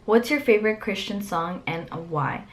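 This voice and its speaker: Young woman, Mexican-born, Spanish first language; relaxed bedroom vlog chat with loose fillers, close to the camera. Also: mid-range voice, normal speed. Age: young adult. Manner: chatty, many "like" fillers. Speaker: vlogger. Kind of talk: casual Q&A chat. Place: bedroom, indoors.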